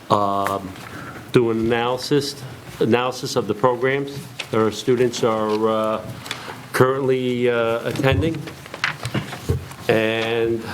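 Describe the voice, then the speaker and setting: A hoarse man giving a halting, formal presentation to a school board, full of fillers. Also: lowish voice, slight background noise. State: hoarse, lost voice.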